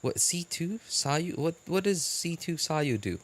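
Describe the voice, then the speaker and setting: A man casually chatting as a live-stream host, answering viewers in a halting way and repeating his phrases. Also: on the high side, a little hiss or hum. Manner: halting, repeats phrases, animated incredulous reactions.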